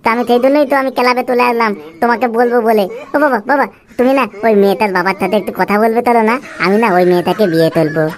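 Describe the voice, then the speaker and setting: Woman voicing a high-pitched, nagging little cartoon boy. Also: fairly even intonation, racing, natural voice. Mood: pleading, eager. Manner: whiny, insistent, childish repetition. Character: nagging, stubborn little boy demanding a soft drink. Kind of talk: comic cartoon dialogue. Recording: clear background noise.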